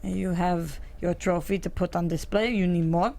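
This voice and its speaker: An actress playing a proud, combative immigrant wife, sarcastic and confrontational, at a script table read. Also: female voice, fairly low pitch, fairly even intonation, normal speed, gravelly, dark tone. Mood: bitter, defiant.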